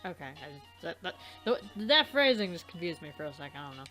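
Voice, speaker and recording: A woman co-hosting a let's play, reacting casually and haltingly as she searches for words. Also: lowish voice, very animated, pushed and bright, faint music behind. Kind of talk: casual chat, reacting to a game.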